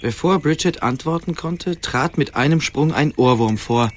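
A man's voice: fairly high pitch, moderate pace. A man narrating a scripted fantasy radio play as its storyteller. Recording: clear background ambience noise.

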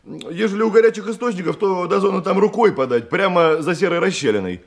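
A man's voice: high voice, animated, fast talker, creaky. A man in a Russian radio drama playing an evasive, servile criminal underling who hesitates, stammers and stalls. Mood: hesitant, ingratiating. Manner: hesitant, stammering, repeats questions back.

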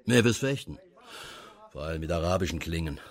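A deep-voiced, courteous sea captain. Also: male voice, lively intonation, a little fast. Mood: curious. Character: worldly, hospitable sea captain of old Canton, sword collector. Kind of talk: radio drama acting.

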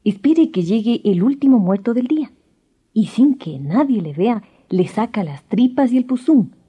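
An actress playing a knowing neighbour in a radio drama, explaining recipes in a husky, dark, sing-song voice. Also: female voice, fairly high pitch, lively intonation, normal speed, lo-fi sound. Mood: sly, instructing. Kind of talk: 1990s radio drama acting.

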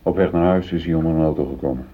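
A man's voice: very deep voice, rapid-fire, a little hiss or hum. An actor in a Dutch radio play, playing a newly promoted, uneasy middle-aged foreman who earnestly justifies himself. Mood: defensive, troubled. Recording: muffled phone-like old radio recording.

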